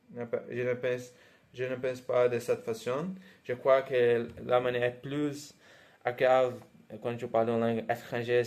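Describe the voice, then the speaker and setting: A man's voice: medium pitch, a little mellow. A man whose first language is Portuguese, speaking with an accent and giving encouraging advice to language learners, with frequent hesitations and fillers. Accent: Portuguese-speaking, Brazilian. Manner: hesitant, many "euh" fillers and restarts; encouraging, talks straight to the viewer. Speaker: language teacher. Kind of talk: casual vlog advice to learners.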